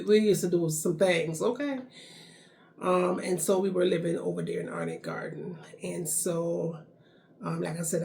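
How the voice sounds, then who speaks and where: A woman's voice: on the low side, creaky, slight background noise. A young Jamaican woman with a Jamaican accent, chatting casually in a storytime vlog, repeating phrases and tagging lines with "okay?". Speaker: vlogger.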